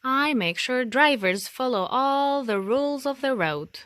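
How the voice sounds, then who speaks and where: Earnest highway trooper for a kids' book, done by a woman reader, firm teacher type, fairly high, animated delivery. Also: measured pace, no room sound. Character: earnest, rule-minded male state trooper in storybook.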